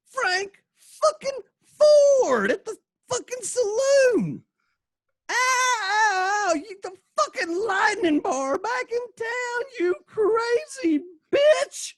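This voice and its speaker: A woman's voice: very high-pitched, pitched above the performer's own voice, full character voice. A performer playing a beaten, foul-mouthed male captive screaming in pain in a Western radio drama.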